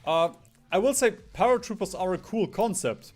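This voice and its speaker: Lively, higher-pitched man commentating on a game. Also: moderate pace. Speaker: gaming streamer. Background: background music.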